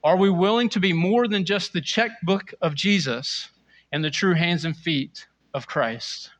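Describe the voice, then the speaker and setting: A male pastor with a fairly high, bright, forceful voice preaches to his congregation. He is earnest and exhorting, building his point through repeated rhetorical questions. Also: measured pace, highs cut off. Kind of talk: sermon addressing a congregation.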